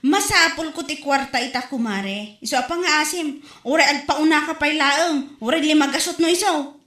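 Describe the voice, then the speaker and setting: A woman's voice: high-pitched, moderate pace, fairly bright tone, reverberant. A woman with an Ilocano accent, playing a pushy lender who nags a friend to repay a loan. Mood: exasperated. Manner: nagging, exasperated complaining.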